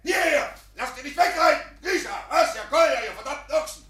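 Imperious old landowner father, sharp forceful tone, animated, short-tempered, radio drama. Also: very high voice, fairly quick, heavily acted. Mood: furious. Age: older. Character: blustering, domineering old Russian landowner and father. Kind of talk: German radio play acting.

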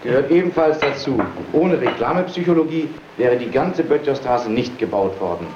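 A man being interviewed in a coffee tasting room, brisk with dry humour, heard on a 1932 wax disc recording. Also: mid-range voice, expressive, echoey room, highs cut off, noisy background.

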